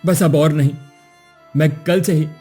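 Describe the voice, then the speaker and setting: Woman playing a young woodcutter, husky, mellow, pleading, cartoon husband. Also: low-pitched, steady tone, unhurried, faint music behind. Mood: despairing. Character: poor young woodcutter husband, weary and despairing. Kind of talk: cartoon voice acting.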